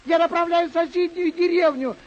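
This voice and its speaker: Timid poor barber, high squealing voice, pleading, panicky, radio play, muffled old recording. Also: male voice, fairly even intonation, fairly quick. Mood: whining, pleading. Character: poor, timid, panicky village barber, cowering before knight. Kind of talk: old radio drama acting.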